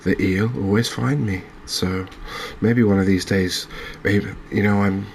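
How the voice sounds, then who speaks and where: A man giving soft-spoken, gentle, unhurried gameplay commentary in an ASMR style. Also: on the low side, fairly even intonation, gravelly, slight background noise. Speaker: host of a video game channel.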